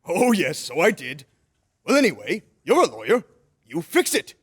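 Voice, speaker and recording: Casting: elderly, kindly Santa figure male actor, high-pitched and very animated, old-time radio style. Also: moderate pace, breathy edge, exaggerated voice. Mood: brightening. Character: jolly elderly gentleman who believes he is Santa Claus.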